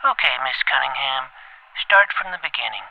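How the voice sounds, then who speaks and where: A man playing a pompous, precocious twelve-year-old boy detective in an audio drama, formal and wordy, with a creaky voice. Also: mid-range voice, expressive, normal speed, no room sound, muffled recording. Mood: businesslike. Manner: formal, verbose, clipped interrogator's delivery. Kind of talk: scripted audio drama acting.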